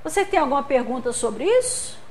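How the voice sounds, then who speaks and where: A woman from Brazil gives a lecture with a high, light voice. She explains things patiently, uses homely examples and keeps checking that her listeners are following. Her tone is that of a practiced speaker addressing a gathered audience. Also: big pitch swings, fairly quick, darkish tone, slight background noise. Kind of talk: spiritist center lecture.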